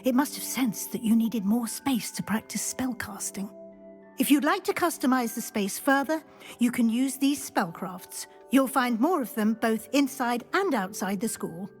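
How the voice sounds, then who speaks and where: A woman playing a kindly, composed female professor in a game cutscene, gentle and instructive. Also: a little high, animated, unhurried, soft background music.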